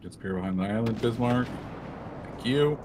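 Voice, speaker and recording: A man's voice: mid-range voice, moderate pace, background noise. A man hosting a game livestream, commenting in a laid-back, wry way. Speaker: streamer host. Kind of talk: casual gameplay commentary.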